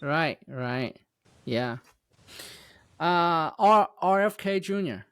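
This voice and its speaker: A man's voice: on the high side, animated, drawn-out words, a bit bright, clear, resonant tone, dry recording, clean studio sound. A man hosting an interview show, asking questions with frequent stumbles and self-corrections. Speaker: host. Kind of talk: casual interview.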